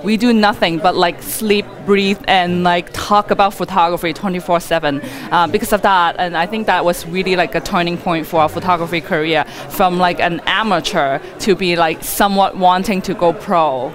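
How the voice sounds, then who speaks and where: A woman's voice: on the low side, fairly even intonation, normal speed, few pauses, a crowd in the background. A woman being interviewed as a wedding photographer, talking conversationally in a rambling, chatty way with "like" as a frequent filler.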